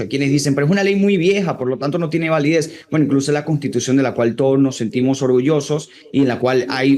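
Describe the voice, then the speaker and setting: A man from Venezuela, making brisk, assertive points as a panelist in a political debate. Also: medium pitch, a little mellow.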